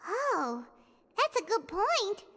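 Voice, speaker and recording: A woman voicing a small, childlike fairy companion in a video game, squeaky, earnest and excitable. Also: slow pace, noisy background, exaggerated voice. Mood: earnest, inquisitive. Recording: long reverb, game music behind.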